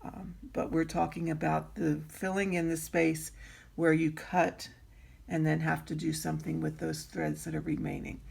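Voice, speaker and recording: A woman with a raspy voice teaching needlework in an easygoing tutorial, pausing often with ums and clearing her throat. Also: low-pitched, darkish tone. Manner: slow, unhurried, frequent ums and throat clearing. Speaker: embroidery teacher. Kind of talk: instructional craft tutorial.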